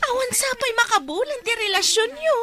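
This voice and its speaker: A woman's voice: extremely high pitch, lively intonation, brisk, pushed and bright, heavily acted. A woman with a Filipino accent playing a nagging, meddlesome middle-aged mother in an Ilocano radio drama, exclaiming and scolding.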